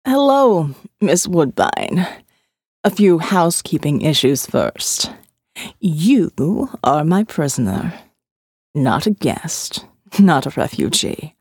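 A woman voicing a smooth, elegant male captor who calmly lectures his prisoner in an audiobook. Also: low-pitched, slow pace, no echo, clean studio sound, voice pushed down, exaggerated voice. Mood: smoothly menacing. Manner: calm, smooth and menacing, lecturing. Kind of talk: audiobook character voice.

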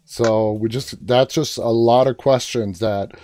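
A man's voice: mid-range voice, fairly even intonation, measured pace, mellow. A man from Queens, New York, hosting a casual fan interview, earnest and emphatic, repeating himself to make a point. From Queens, New York, United States. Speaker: interviewer, fan show host.

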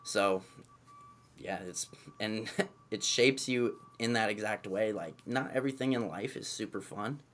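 Young guy in his twenties chatting loosely, lots of "um" and "essentially", lowish voice. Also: unhurried. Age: 20s. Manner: relaxed, rambling, many fillers and "essentially". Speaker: guest, movement coach. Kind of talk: casual conversational interview.

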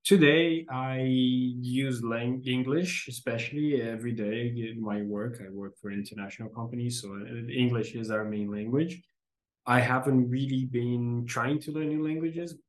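A man from Brazil, a native Portuguese speaker, talking in fluent English as a podcast guest, quick and relaxed with a self-deprecating humor. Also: mid-range voice. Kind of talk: casual interview conversation.

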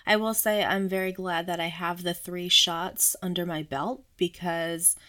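A woman hosting her own podcast in a casual, chatty way, sick and slightly hoarse, often laughing at herself. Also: lowish voice, steady tone, measured pace, pushed and bright. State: sick, voice a little off. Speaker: podcast host. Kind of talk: casual solo podcast chat.

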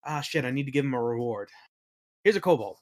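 A man's voice: medium pitch, expressive, quick pace, a little mellow. A man in a casual interview, talking informally as a game master and telling anecdotes. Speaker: podcast guest, game master and worldbuilder.

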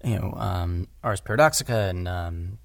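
A man's voice: deep voice, normal speed, gravelly, dry recording. A man talking casually in an interview as an audio drama director, rambling and often correcting himself with emphasis.

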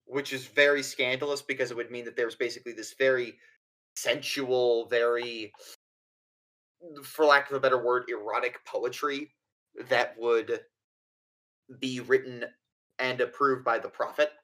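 A man's voice: medium pitch, fairly even intonation, a little slow, pauses often, fairly bright tone, rough recording. Man running a tabletop game. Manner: explanatory, stumbling with fillers. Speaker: game master. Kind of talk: narrating a tabletop horror game.

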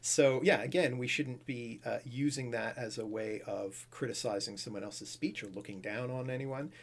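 Husky-voiced man explaining language history. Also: mid-range voice, normal speed. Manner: thoughtful, explaining with fillers and restarts. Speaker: language expert guest. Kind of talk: casual explanatory conversation.

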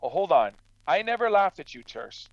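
An actor playing a stern, practical farmer in a radio drama, blunt and admonishing but fair-minded. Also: male voice, high voice, very animated, normal speed, no echo, dull audio. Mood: wary, protesting. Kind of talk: true crime radio drama acting.

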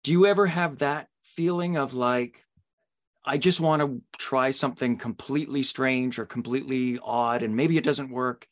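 Man, average pitch, podcast host, conversational interview, musing long questions. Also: moderate pace.

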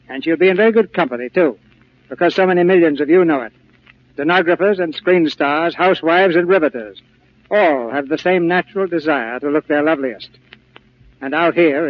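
A man hosting and narrating a 1940s radio theater show in a polished, formal manner. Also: a little high. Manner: polished, formal presenter delivery. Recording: dull old radio recording with music.

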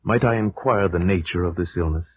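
An actor playing a grave gentleman haunted by dread, in solemn, formal old radio horror style. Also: deep voice, a little hiss or hum, close to an everyday voice. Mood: probing. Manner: solemn, formal, ominous, measured. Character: grave, dread-haunted gentleman narrator, friend of a doomed family. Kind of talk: 1940s-50s radio gothic horror narration and acting. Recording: dull old radio recording with music.

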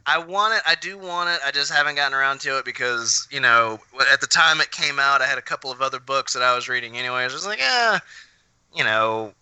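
Bright, crisp-voiced man chatting bluntly. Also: mid-range voice, lively intonation, quick pace, no room sound, poor audio. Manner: opinionated, blunt, profane. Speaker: podcast host. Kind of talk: casual chat with friends.

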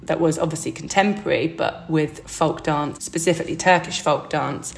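A young woman with a low voice talks through her dance training as an interview guest. She is chatty and reflective, often saying "like" and laughing lightly. Her delivery is relaxed and conversational. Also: normal speed, gravelly. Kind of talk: casual interview.

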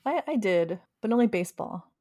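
A woman from New York co-hosting a podcast, chatty and keen on bug facts, her voice slightly gravelly and tired. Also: medium pitch, lively intonation, fairly quick, dark tone, no room sound, clean studio sound. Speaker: podcast co-host. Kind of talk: casual chat.

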